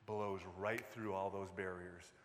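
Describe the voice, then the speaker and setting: A man's voice: sits low, steady tone, a little slow, a little hiss or hum. Pastor Man preaching in a reverberant church. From Detroit, US Midwest. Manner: conversational preaching, many fillers and restarts, jokes with the congregation. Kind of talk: sermon. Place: church sanctuary. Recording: long reverb of a large hall.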